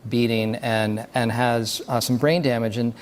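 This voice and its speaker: Man explaining a story, haltingly. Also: medium pitch, fairly even intonation. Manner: halting, pausing between phrases. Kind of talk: casual talk describing a film story.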